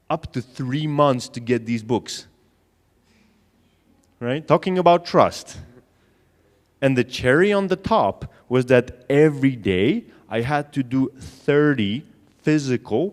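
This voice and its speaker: A man's voice: medium pitch, darkish tone. A man with a Polish accent giving a conference talk, telling a story and putting questions to the audience. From Poland. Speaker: conference speaker on sales. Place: conference hall. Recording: long hall reverb.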